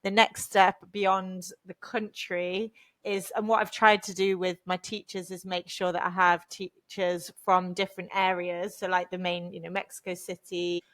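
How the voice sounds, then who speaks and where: Woman with flat, even intonation. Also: on the low side, normal speed. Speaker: interview guest, language business founder. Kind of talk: conversational interview.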